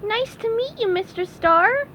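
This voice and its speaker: A woman's voice: very high voice, animated, measured pace, pushed and bright, voice pushed up, full character voice. A woman voicing a childlike, polite, bewildered male cartoon sea sponge in a children's storybook reading. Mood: polite, cheery. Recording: dull, noisy recording.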